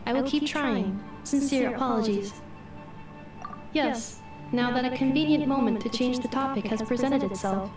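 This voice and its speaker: An actress playing a literal-minded, polite female robot in a video game, speaking formally and analytically with a deadpan delivery. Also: sits high, normal speed, heavy reverb, distant mic, music in the background, lightly acted. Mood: curious, detached. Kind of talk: scripted video game voice acting.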